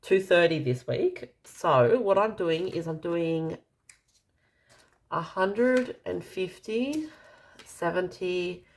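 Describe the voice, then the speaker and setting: Low-pitched, croaky Australian woman. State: slightly croaky, dry voice. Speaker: budgeting vlogger. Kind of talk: casual narration while counting cash.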